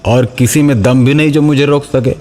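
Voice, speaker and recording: Guy doing cocky auto driver in horror cartoon, gruff, bit of music behind. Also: medium pitch, fairly even intonation, moderate pace, a little mellow, lightly acted. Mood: boastful. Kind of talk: cartoon horror story dialogue.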